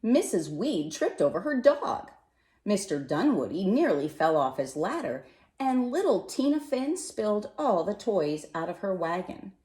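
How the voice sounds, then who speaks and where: A woman reading a children's picture book aloud in an expressive, animated way, with dramatic pauses and emphasis. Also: medium pitch. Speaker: storybook reader. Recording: roomy, echoey sound.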